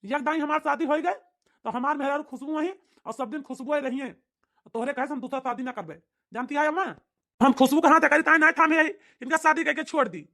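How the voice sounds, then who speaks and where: A woman voicing an earnest, defiant young man in a cartoon, talking loudly and emphatically with an Awadhi accent. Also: high-pitched, fairly quick. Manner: loud and emphatic. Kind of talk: cartoon voice acting.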